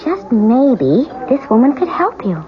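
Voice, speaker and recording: An actress playing a chatty, flighty, concerned friend with a gushing, hesitant manner in a radio drama. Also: female voice, high-pitched, sing-song, a little slow, a little hiss or hum. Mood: tentative. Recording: muffled old radio broadcast.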